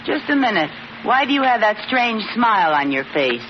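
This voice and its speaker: An actress playing a playful, scheming, talkative housewife, teasing and wisecracking in 1940s radio comedy style. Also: female voice, on the high side, sing-song, normal speed. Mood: flirty, teasing. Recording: muffled, noisy old radio recording with audience.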